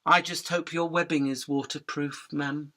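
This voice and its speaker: A woman's voice: low-pitched, fairly even intonation, moderate pace, a bit bright. A woman playing a tiny, earnest, defiant winged insect prophet of doom in a radio drama, with an urgent, preachy, sardonic delivery. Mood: grave warning. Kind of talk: theatrical radio drama acting.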